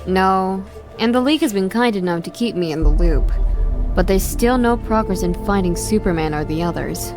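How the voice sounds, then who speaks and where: A woman plays a guarded, no-nonsense reporter in a comic dub. Her medium-pitched voice is frank and matter-of-fact, with music clearly behind. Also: moderate pace, lightly acted. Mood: serious. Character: brisk, guarded adult female reporter.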